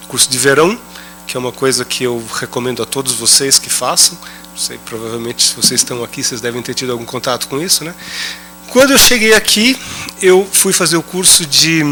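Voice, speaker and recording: A man's voice: medium pitch, bright, forceful tone, a little hiss or hum. A man from São Paulo, giving an improvised talk at a round table. He hesitates often, with many "ah"s and repeated words. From São Paulo state, Brazil.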